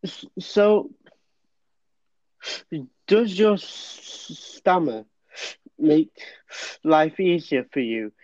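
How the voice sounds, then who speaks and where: A man hosting a podcast asks his questions very slowly and haltingly, with stammer blocks between words. His voice sits a little high. The sound is dry and muffled, like a lo-fi home setup. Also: big pitch swings, a little mellow, dry recording. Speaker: podcast host. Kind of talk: interview questions.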